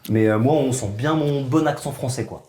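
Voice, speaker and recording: A man co-hosting a podcast, telling a personal story in relaxed, casual conversation full of fillers. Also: medium pitch, normal speed, reverberant. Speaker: podcast co-host. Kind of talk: casual chat.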